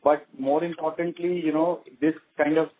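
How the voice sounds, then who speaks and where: A man with an Indian accent answers on an earnings call as a company executive, explaining in a halting way. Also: fairly high pitch, flat delivery, slight background noise. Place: conference call. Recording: tinny phone-like conference line.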